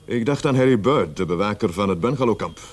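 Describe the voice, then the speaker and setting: A tired grumpy cop sarge in a radio play, lowish voice, blunt and doubtful. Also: male voice, expressive, fast, husky. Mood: weary, pondering. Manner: grumbling, blunt, colloquial. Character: gruff, weary, sceptical male police sergeant. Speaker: actor in a detective radio play. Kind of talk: Dutch-language radio drama dialogue.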